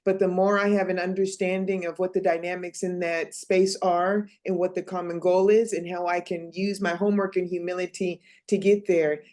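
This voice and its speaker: A woman's voice: fairly low pitch, darkish tone. A woman speaking earnestly in a casual video-call discussion, steadily summarizing what her small group talked about. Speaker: fellow reporting back from a small group.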